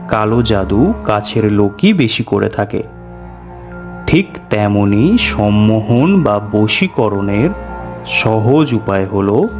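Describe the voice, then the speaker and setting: A man narrating a scripted horror story in the first person, in a measured, deliberate way. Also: lowish voice. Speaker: storyteller narrator voicing a tantric recounting his past. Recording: music bed under the voice. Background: music.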